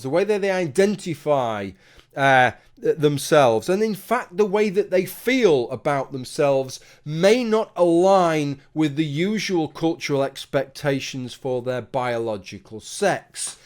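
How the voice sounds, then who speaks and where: A man's voice: fairly high pitch, lively intonation, measured pace, a little mellow. A man delivering a conversational opinion monologue, arguing his point with rhetorical asides. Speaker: commentary video host.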